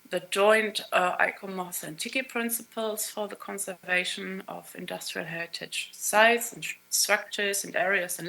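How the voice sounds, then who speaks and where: A woman's voice: mid-range voice, creaky, a bit bright, rough recording. A middle-aged woman, not a native English speaker, giving a keynote conference presentation with many hesitations and fillers. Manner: hesitant, many ums and uhs. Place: conference hall.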